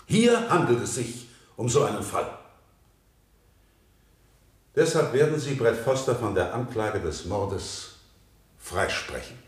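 A man's voice: mid-range voice, big pitch swings, takes it slowly, pauses often. A man acting an earnest, eloquent defense lawyer in a German radio play, speaking in solemn, emphatic courtroom oratory. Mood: solemn pleading. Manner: rhetorical, solemn, emphatic, clearly articulated. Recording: roomy studio courtroom echo of 1970 radio.

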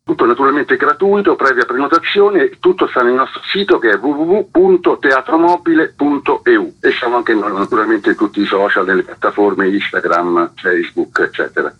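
A man's voice: mid-range voice, a little fast, few pauses, breathy edge. A man, a theatre director, giving explanations as an interview guest on a lo-fi recording.

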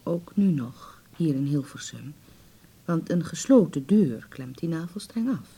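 A husky, anxious middle-aged mother. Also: sits low, lively intonation, unhurried, warm tone, exaggerated voice. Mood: earnest, tender. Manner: hesitant, sighing, pleading. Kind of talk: naturalistic Dutch radio play acting.

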